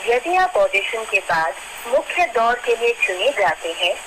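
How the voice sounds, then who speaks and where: A woman's voice: on the high side, sing-song, a little slow, background noise. A woman with an Indian accent reading formal scripted instructions as a phone line announcer, on a played-back call recording.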